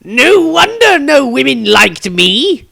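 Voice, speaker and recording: A man voicing a very old, wistful and cheeky male wizard in a storybook, with drawn-out words. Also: very high-pitched, big pitch swings, vocal fry, pushed and bright, pitched above the performer's own voice, exaggerated voice. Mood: wry, amused.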